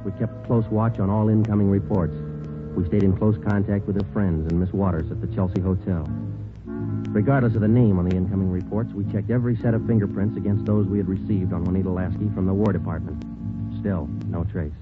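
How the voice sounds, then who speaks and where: A man's voice: deep voice, steady tone, a little fast, close to an everyday voice. An actor playing a terse, businesslike American police sergeant in a 1940s radio crime drama, narrating his case in a clipped, matter-of-fact way. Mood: deadpan. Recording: muffled vintage 1940s radio recording, music behind.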